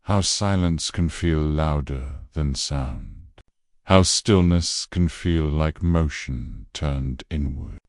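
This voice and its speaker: Very deep, slow, hushed male narrator. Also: no echo, clean studio sound. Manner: slow, hushed, gentle delivery trailing off softly. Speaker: sleep story narrator. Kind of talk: soothing scripted bedtime narration.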